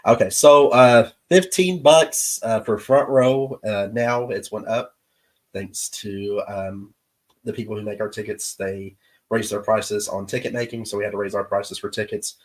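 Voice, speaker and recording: A man hosting his show's live stream, chatting casually with viewers in an easygoing, halting way, his voice stuffed up from allergies. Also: on the low side. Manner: easygoing, halting with many pauses. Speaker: show host. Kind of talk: casual livestream chat with viewers.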